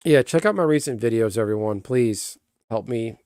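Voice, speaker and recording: A man with a calm, even voice chats casually with his viewers. He rambles, restarts his sentences and talks straight to the chat. His voice sits at a middle pitch, close to the mic in a dry, dead room. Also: normal speed, no echo. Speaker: streamer. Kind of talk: casual live-stream chat.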